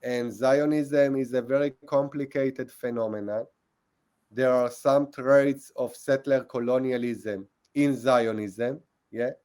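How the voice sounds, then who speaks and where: A man in his forties with an Israeli accent, a historian speaking as a guest over a video call, measured, restarting phrases and adding "yeah?" to check in. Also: mid-range voice, steady tone, darkish tone. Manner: measured, repeats "I, I" and tags "yeah?". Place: small room at home. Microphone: earbuds on video call.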